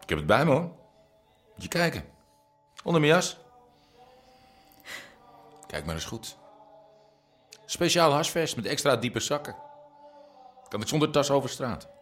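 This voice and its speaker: A sly underworld pusher in a radio drama. He sounds chummy but threatening, with a medium-pitched, very mobile voice and light music behind. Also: expressive, measured pace, long pauses, fairly bright tone. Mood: falsely friendly. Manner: casual, slyly threatening. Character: menacing, falsely friendly male drug pusher. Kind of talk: naturalistic Dutch radio drama acting.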